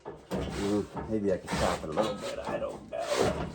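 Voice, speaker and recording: Low, gravelly man muttering through restoration work in a noisy home garage; casual hobbyist host. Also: big pitch swings, unhurried. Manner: quiet, mumbling while working. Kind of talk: casual workshop talk to camera.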